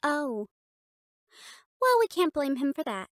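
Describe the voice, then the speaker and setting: A woman playing a bossy, sharp-tongued young catgirl cheerleader captain in a scripted audio roleplay. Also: high-pitched, very animated, slow pace, no echo, studio quality, heavily acted. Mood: teasing. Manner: bossy, sassy, emphatic.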